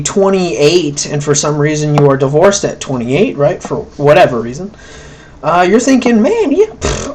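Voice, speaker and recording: A man's voice: fairly high pitch, low-quality recording. A man giving a casual, conversational recorded lecture, often checking in with "right?" and acting out quoted thoughts. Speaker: college instructor.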